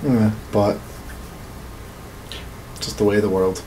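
A man's voice: lowish voice, fairly even intonation, slow pace, warm tone. A man in his thirties chatting casually as a podcast co-host in a small indoor room. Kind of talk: casual conversational chat about games and movies. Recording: echoey, noisy room recording.

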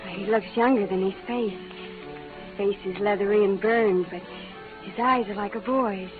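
Earnest young Eastern bride on tinny old radio, played by an actress. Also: female voice, mid-range voice, fairly even intonation, unhurried, close to an everyday voice. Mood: wistful, determined. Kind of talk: 1940s-50s radio western acting. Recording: tinny old radio recording, music behind.

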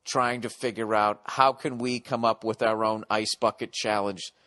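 A middle-aged American man hosting a podcast, ranting sarcastically with lots of swearing, going loud then quiet. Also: lowish voice, fairly even intonation, moderate pace, no echo. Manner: profane, sarcastic ranting, swings loud and soft. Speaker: podcast host. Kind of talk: ranting solo podcast monologue.

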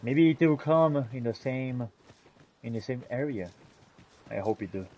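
Mellow man roleplaying through a fantasy game. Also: mid-range voice, lively intonation, unhurried. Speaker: gamer roleplaying a character in a video game. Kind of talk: in-character roleplay narration of fantasy gameplay.

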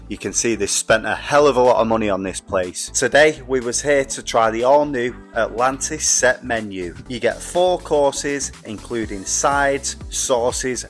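A man, reviewing a restaurant in a chatty, slangy food vlog voice-over. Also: medium pitch. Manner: chatty, blunt, slangy and enthusiastic. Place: restaurant interior.

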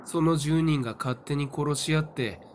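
A man's voice: fairly high pitch, normal speed, a little mellow, slight background noise, natural voice. A man acting a cynical young seer in an audio drama, nonchalant, flippant and coolly taunting. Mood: mocking.

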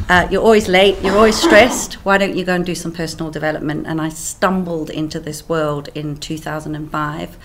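A candid South African woman in her fifties talks through her career in an easy, chatty manner. Her voice sits on the low side, with a faint hiss behind it. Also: a bit bright. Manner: candid, chatty, laughs easily. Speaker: sales trainer guest. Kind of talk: casual interview answers.

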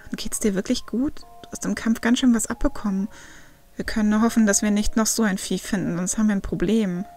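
A woman plays a caring young man in an audiobook. The friend sounds earnest, soothing and forgiving, at a medium pitch with faint music underneath. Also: steady tone, brisk, darkish tone, lightly acted. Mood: concerned. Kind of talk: acted audiobook dialogue.

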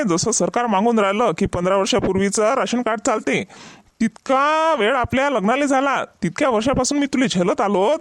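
Guy doing a fed up village husband in a cartoon, really high voice, vidarbha marathi accent, grumbly and sarcastic. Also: dry recording, clean studio sound. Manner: complaining, sarcastic rustic banter. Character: exasperated, grumbling village husband with long-suffering temper. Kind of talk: comedy cartoon dialogue.

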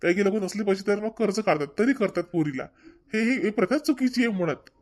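A man plays a modest but opinionated young son-in-law in a cartoon comedy. His voice is high for a man, and he protests repetitively in a Varhadi Marathi accent. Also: lively intonation, quick pace. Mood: protesting, opinionated. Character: outspoken, modest young son-in-law who rejects fuss and custom. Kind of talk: amateur animated family comedy acting.

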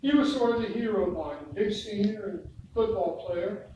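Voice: medium pitch, moderate pace. An older speaker from Oregon with an American accent, reading written reminiscences aloud at a local talk. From Lebanon, Oregon, United States. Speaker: long-time resident speaker at local history talk. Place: indoor meeting room with hard walls. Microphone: far camera across the room. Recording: distant mic, very echoey noisy room.

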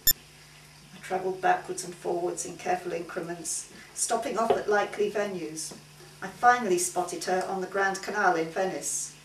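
A woman's voice: fairly low pitch, fairly even intonation, moderate pace. A middle-aged woman reading a short story aloud as scripted first-person narration in a living room. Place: indoors in a living room at a home gathering. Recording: roomy, distant mic, faint hiss.

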